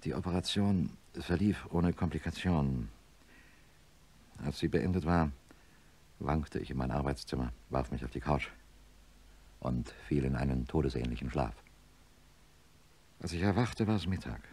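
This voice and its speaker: A middle-aged professor of surgery telling his story in a radio play. His extremely deep, mellow voice is husky, and he narrates in a sober, measured manner. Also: expressive, heavily acted. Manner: measured, matter-of-fact. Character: sober male neurosurgeon professor recounting his experiment. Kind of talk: first-person radio drama narration.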